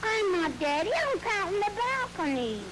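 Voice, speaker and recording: A woman voicing a stubborn, cheeky little girl in an old radio comedy, with a whiny, deadpan child's way of talking. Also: very high-pitched, normal speed. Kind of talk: 1940s radio comedy acting. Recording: crackly old radio recording with loud noise.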